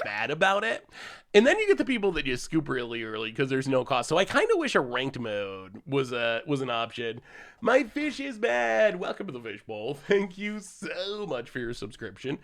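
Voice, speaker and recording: A man's voice: fairly high pitch, sing-song, moderate pace, vocal fry, fairly bright tone. A man streaming a card game and chatting casually with his viewers, a little congested from a cold, laughing often as he plays. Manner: chatty, laughs often, thanks chat subscribers. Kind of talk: casual live-stream commentary while playing.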